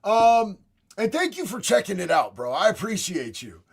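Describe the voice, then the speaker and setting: Man, young adult, raspy voice, high-pitched, blunt and profane, slangy livestream host reading chat. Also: sing-song, normal speed. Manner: blunt, profane, slangy, addresses viewers as bro and homie.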